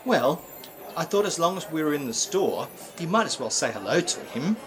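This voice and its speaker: A man's voice: fairly high pitch, sing-song, normal speed, crowd noise. A man playing an easygoing, apologetic neighbour in an old-time radio play, casual and mild.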